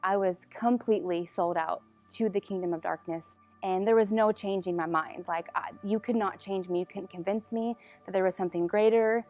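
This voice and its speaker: Early 20s girl telling her story, expressive, says like a lot, light music behind. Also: medium pitch, moderate pace, hardly any pauses. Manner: expressive, uses "like" often. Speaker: church member giving testimony. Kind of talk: informal personal testimony.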